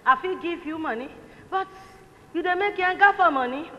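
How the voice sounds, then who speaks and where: An actress as a brash, proud Nigerian cleaning woman in a stage play. Her very high voice taunts and exclaims, ringing in a hall over faint background noise. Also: normal speed, sharp tone, highs cut off. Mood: scolding, boastful. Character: brash, proud female cleaner, teasing and scolding. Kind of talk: comic stage acting in Nigerian Pidgin. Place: indoor theatre stage set.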